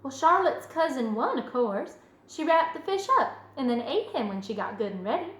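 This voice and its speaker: A woman voicing an eager, imaginative, stubborn young farm girl in a storybook, earnest and excitable. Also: sits high, moderate pace. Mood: excited, insistent. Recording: roomy echo, music underneath.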